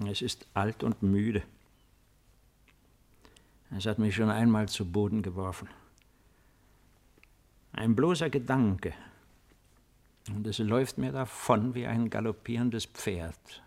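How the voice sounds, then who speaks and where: A man's voice: lowish voice, steady tone, takes it slowly, pauses often, a little mellow. An older man playing an ailing, lonely old emperor in 1950s German radio drama, speaking in a stately, theatrical manner. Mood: weary, wistful.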